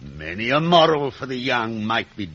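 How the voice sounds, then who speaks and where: An actor playing a pompous, gluttonous middle-aged uncle who sermonizes ponderously in a 1950s radio drama. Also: male voice, mid-range voice, big pitch swings, moderate pace, fairly bright tone. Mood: pompous, scolding. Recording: muffled vintage radio broadcast.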